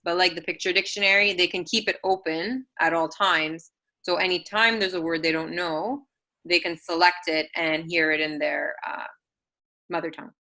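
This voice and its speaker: A woman presenting an assistive technology webinar, walking parents through software tools with frequent ums and self-corrections. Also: on the low side, steady tone, normal speed.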